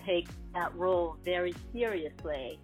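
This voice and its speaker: A woman in her fifties, born in South Korea and a native Korean speaker, speaks as a podcast guest in a soft, hesitant way with many ums. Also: on the low side, measured pace, soft background music. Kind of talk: casual interview over the phone. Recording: tinny phone line.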